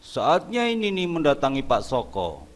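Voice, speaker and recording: A man's voice: mid-range voice, huge pitch swings, unhurried. Male narrator telling a horror tale. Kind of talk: scripted storytelling narration. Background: music.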